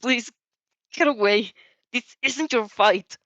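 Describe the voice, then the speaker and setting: A woman's voice: on the high side, takes it slowly, a bit bright, dry recording, muffled recording, pitched above the performer's own voice. A woman voicing an anguished, proud young male hero student in an audio story, strained and pleading.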